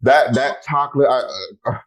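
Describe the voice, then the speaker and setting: A man hosting a casual podcast, talking in an animated way, exclaiming loudly and speaking straight to his listeners. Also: medium pitch, unhurried. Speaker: podcast host.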